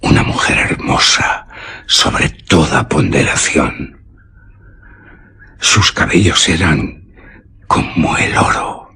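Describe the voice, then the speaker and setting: A man's voice: very deep voice, expressive, takes it slowly, pauses often, sharp tone, breathy edge, heavily acted. An actor playing a lovestruck, dreamy young nobleman in a radio drama, in impassioned theatrical declamation. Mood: entranced. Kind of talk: Spanish radio drama acting.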